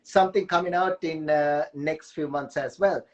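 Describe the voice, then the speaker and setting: A man hosting a casual live video chat, speaking haltingly with many hesitations and joking as he goes. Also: a little high, fairly even intonation, dull audio. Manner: halting, many "uh" fillers, jokey. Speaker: host.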